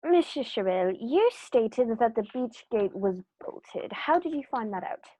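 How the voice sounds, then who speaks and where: A woman's voice: a little high, very animated, a little slow, dark tone, rough recording. A woman playing a confident, incisive detective, courteous and precise, in an amateur radio play. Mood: accusing, incisive. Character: confident, incisive male detective on trial, politely accusing.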